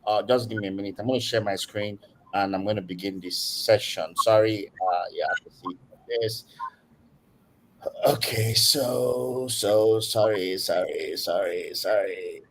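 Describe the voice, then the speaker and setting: A man's voice: lowish voice, steady tone, a little slow. Man, Nigerian accent, online lecture, video call, chatty, repetitive. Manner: chatty, repeats words, frequent "okay?" check-ins. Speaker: guest speaker, tech community leader.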